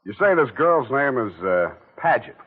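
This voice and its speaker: A dry wry detective narrating, mid-pitch voice, old tinny radio drama sound. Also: male voice, normal speed, slightly rough. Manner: dry, wisecracking, deadpan. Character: hard-boiled male private eye, dry and wry. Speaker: narrator and lead. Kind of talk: 1940s radio acting.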